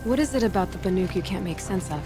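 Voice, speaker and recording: A young huntress acted by a woman talks in video game dialogue, curious and direct. The voice is medium in pitch and plainly earnest, with music behind it. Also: expressive, quick pace, close to an everyday voice. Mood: curious, probing. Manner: earnest, probing questions, plainly spoken.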